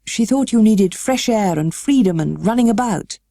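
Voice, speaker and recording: A woman voicing a weary, melancholy gentleman guardian for a storybook, kindly but stiff, with slow, halting, well-spoken delivery. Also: medium pitch, animated, dry recording, studio quality, voice pushed up, close to an everyday voice.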